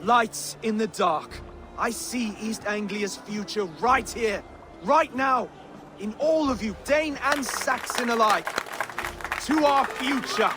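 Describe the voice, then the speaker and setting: High-voiced, earnest young-hearted Saxon king. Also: takes it slowly, a crowd in the background. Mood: earnest, solemn. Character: gentle, earnest Saxon king, pious and conciliatory. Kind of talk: dramatic video game acting. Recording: music behind the voice.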